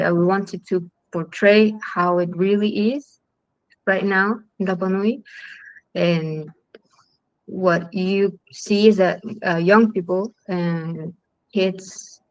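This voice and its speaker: A woman filmmaker from Chile with a Chilean Spanish accent, speaking English in a halting way full of ums and restarts. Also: on the low side, dry recording, rough recording. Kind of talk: festival Q&A talk.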